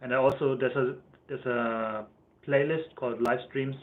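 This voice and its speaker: A man's voice: mid-range voice, steady tone, moderate pace. A young man with a German accent chatting casually on a livestream, rambling and correcting himself as he answers viewers' questions. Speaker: YouTuber. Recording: thin phone-like livestream audio.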